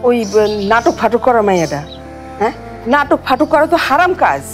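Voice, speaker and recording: A woman's voice: a little high, very animated, moderate pace, soft background music. An actress playing a pious, nagging, aggrieved older mother with a colloquial Bangladeshi Bengali accent in a television drama. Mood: aggrieved, scolding. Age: middle-aged or older. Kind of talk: domestic TV drama acting.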